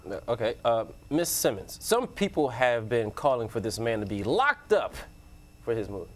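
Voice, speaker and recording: A man's voice: mid-range voice, very animated, moderate pace. A man hosting a joking studio panel chat, teasing his guests and laughing often. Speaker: panel show host.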